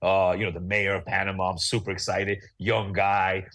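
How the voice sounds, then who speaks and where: A man's voice: low voice, little pitch movement, normal speed, fairly bright tone. A man, a guest in a casual interview, blunt and opinionated as he rambles. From United States. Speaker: real estate agent, guest.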